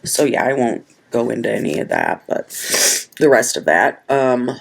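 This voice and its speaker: A woman vlogging about her planner, speaking tearfully and haltingly, repeating words, with a cold and sniffling. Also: very deep voice, sing-song. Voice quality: husky, gravelly. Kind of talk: tearful personal storytelling to camera.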